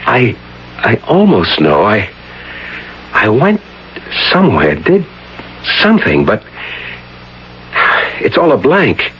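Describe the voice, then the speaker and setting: Intense, troubled professor, wildly swooping voice. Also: male voice, lowish voice, huge pitch swings, exaggerated voice. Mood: puzzled, uneasy. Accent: American. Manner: halting, repeating words, dramatic pauses. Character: intense troubled male parapsychology professor, astonished by his own experience. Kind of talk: vintage radio drama acting. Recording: dull lo-fi old radio broadcast, faint noise.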